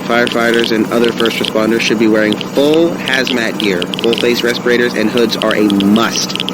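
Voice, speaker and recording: An actor playing a grave emergency official in an audio drama, giving deliberate warnings. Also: male voice, medium pitch, fairly even intonation, lightly acted. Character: grave male official reporting a nuclear radiation emergency. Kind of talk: modern audio drama acting. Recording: very loud outdoor vehicle and ambient noise.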